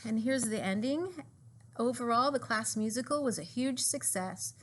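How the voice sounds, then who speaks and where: Casting: woman storybook reader, higher-pitched, animated and deliberate, aimed at young children, with some background noise. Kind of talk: expressive children's storybook reading.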